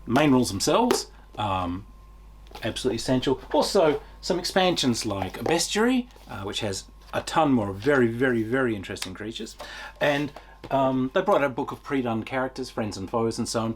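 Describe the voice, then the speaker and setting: A man's voice: mid-range voice, slight background noise. A middle-aged man giving a casual, unscripted video review in an enthusiastic, emphatic way, as a tabletop game reviewer.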